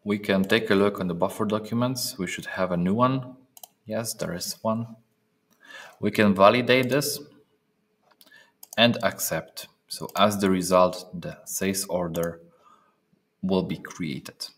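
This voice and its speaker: Low-voiced man giving a software tutorial. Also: steady tone, unhurried, pauses often. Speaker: software trainer presenting a tutorial. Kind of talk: step-by-step screen demonstration narration.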